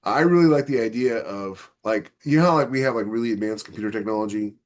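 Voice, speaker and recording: Dude with a lowish voice chatting on a podcast, kinda halting, working out his thoughts out loud. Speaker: podcast host and author. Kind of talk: casual discussion.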